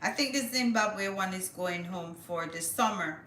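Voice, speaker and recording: Bright-voiced woman livestreaming. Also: mid-range voice, measured pace, echoey room, slight background noise. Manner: chatty, thinks aloud, reads with stumbles. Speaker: livestream host. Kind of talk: casual livestream chat, reading news aloud.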